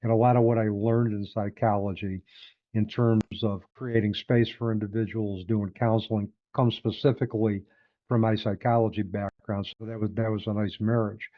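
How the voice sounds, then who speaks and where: A man in his sixties with an upstate New York accent, a guest talking through his working life in a measured, thoughtful way. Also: fairly low pitch, flat delivery, no echo. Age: 60s. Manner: measured, thoughtful, with small restarts. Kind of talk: reflective interview conversation. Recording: tinny phone-like line.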